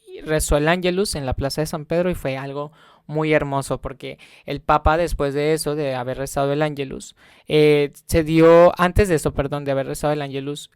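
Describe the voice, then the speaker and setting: A man's voice: on the high side, steady tone, a little fast, clean tone, dry recording, clean studio sound. A young man telling a casual travel vlog story, rambling with many fillers and self-corrections. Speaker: travel vlogger.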